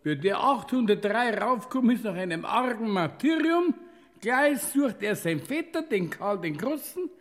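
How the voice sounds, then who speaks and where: A man's voice: high-pitched, animated, normal speed, echoey room, long reverb tail. An actor playing a chatty, wry Bavarian angel who serves as a heavenly doorman in a radio play. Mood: storytelling. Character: Thurmeier, a chatty angel doorman serving Saint Peter.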